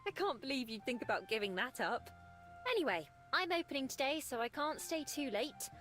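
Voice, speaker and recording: A woman's voice: high-pitched, sing-song, fairly quick, fairly bright tone. A woman voicing a friendly, bookish young woman in a visual novel, chipper and chatty. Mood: friendly, relieved. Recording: music faintly behind the voice.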